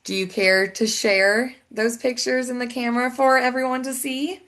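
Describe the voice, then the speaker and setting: A woman's voice: a little high, fairly even intonation, normal speed, fairly bright tone. A woman hosting a casual interview podcast, chatty and effusive, rambling through her thoughts over a video call. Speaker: podcast host.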